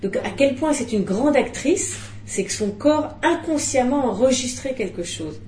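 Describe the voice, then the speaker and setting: A middle-aged woman, a film director, talking at a press conference, animated and restarting often. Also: high-pitched, unhurried, reverberant, a little hiss or hum. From Belgium.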